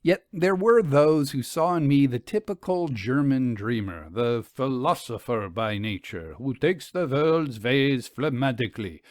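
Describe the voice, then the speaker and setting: A man narrating a first-person story in a measured, formal, old-fashioned manner. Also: mid-range voice, dry recording, studio quality.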